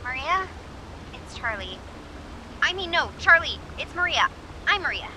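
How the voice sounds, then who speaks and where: A woman's voice: sits high, very animated, unhurried, sharp tone, a little hiss or hum, exaggerated voice, rough recording. A woman playing an awkward, flustered young radio advice host in a video game, rambling, correcting herself and dryly sarcastic. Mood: nervous, defensive. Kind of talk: naturalistic video game voice acting.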